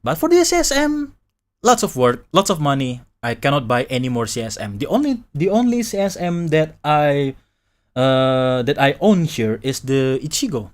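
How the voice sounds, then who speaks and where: A man's voice: a little high, big pitch swings, normal speed. A man from Indonesia, chatting casually on a live stream, rambling and restarting his sentences and laughing often. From Indonesia, Malay from Sumatra. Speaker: VTuber streamer.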